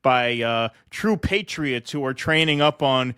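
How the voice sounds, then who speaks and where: A man's voice: mid-range voice, animated, unhurried, fairly bright tone, clean tone, no echo, studio quality. A man co-hosting a political show with casual, sarcastic, jokey banter. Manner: sarcastic, jokey, laughs easily. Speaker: political talk show co-host.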